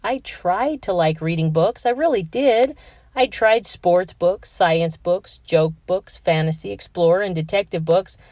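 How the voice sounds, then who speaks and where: A woman reading a children's picture book aloud with expressive storytelling. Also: lowish voice, unhurried, no echo, clean studio sound.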